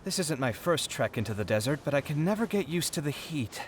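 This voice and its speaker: An actor playing a weary, grumbling traveller in a video game, with a complaining manner. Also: male voice, a little high, big pitch swings, brisk, background noise. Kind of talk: video game character acting.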